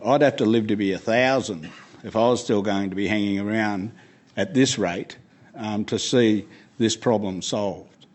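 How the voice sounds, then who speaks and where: A man's voice: fairly low pitch, unhurried. A man speaking as guest speaker, giving earnest, emphatic answers in a panel Q&A and often repeating words as he starts.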